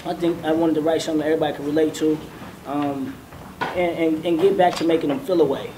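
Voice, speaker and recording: A man with a soft, youthful voice talking casually on a panel as a songwriter, over background noise. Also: fairly high pitch, steady tone, quick pace.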